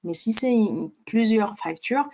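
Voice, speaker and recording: Woman walking through a software demo. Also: on the high side, expressive, unhurried. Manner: explanatory, step-by-step. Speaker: software demonstrator. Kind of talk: unscripted webinar walkthrough of a software tool.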